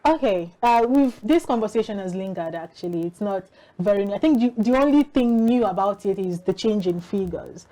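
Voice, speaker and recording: A woman's voice: medium pitch, lively intonation, normal speed, warm tone, poor audio. A woman with a Nigerian accent, reading news and interviewing formally as a morning television host.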